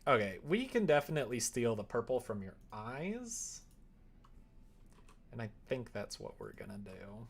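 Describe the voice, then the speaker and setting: A man chatting casually on a live stream, talking half to himself as he works, sometimes louder. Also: medium pitch, big pitch swings, a little slow, gravelly, slightly rough. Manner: mutters to himself while working, with sudden louder bursts. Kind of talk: casual stream chat while working. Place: indoors at a computer desk, keyboard typing behind.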